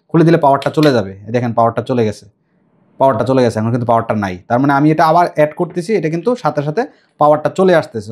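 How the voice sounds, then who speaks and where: A man's voice: a little high, a little mellow. A man with a Bangladeshi accent presenting a product demonstration to viewers, explaining step by step and repeating his points. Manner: explanatory, repetitive. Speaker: shop presenter demonstrating intercom equipment.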